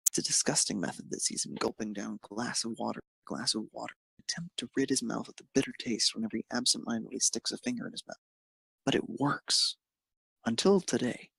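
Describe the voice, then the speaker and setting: A man narrates a piece of fan fiction in a gravelly, slightly husky voice of medium pitch. He reads from a script with the odd stumble, and the sound is dry. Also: a little fast, fairly bright tone, dry recording, poor audio. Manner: occasional stumbles while reading.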